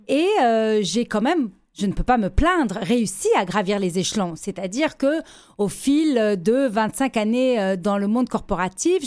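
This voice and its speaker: A woman's voice: fairly high pitch, a little mellow. A woman in her fifties from Lebanon, speaking articulately and reflectively as an interview guest. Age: 50s. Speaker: interview guest, organization founder and former executive.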